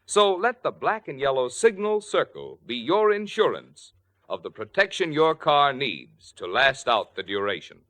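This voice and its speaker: A man's voice: medium pitch, big pitch swings, unhurried, a bit bright. A man announcing a sponsor message in polished, persuasive 1940s radio style, speaking directly to listeners.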